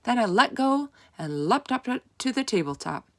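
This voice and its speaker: A woman reading a children's storybook aloud as narrator, with expressive, deliberate emphasis. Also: fairly high pitch.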